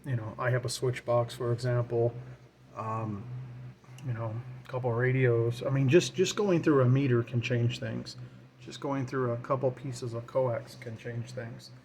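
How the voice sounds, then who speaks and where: A man's voice: medium pitch, steady tone, moderate pace, a little mellow. A man giving an informal hands-on tutorial as a hobbyist, thinking aloud while he works. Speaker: radio hobbyist demonstrating his equipment. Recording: clear background noise.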